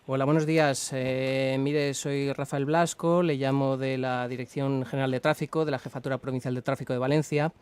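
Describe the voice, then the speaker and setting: A man's voice: medium pitch, level tone, normal speed, hardly any pauses, a little mellow, clear, resonant tone, no room sound, lightly acted. A man playing a polite, apologetic traffic official on a prank call, with an accent from Spain and a hesitant, formal manner. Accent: Spanish from Spain. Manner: hesitant, courteous, official-sounding. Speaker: radio prank caller. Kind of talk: improvised prank phone call in a formal register.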